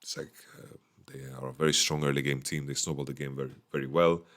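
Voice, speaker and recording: A man with a slightly hoarse voice, casually giving a solo esports recap from a small hotel room into a microphone, thinking aloud with many fillers. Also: rumbling low, steady tone, fast, fairly bright tone. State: voice going a bit hoarse. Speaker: esports analyst. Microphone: studio microphone.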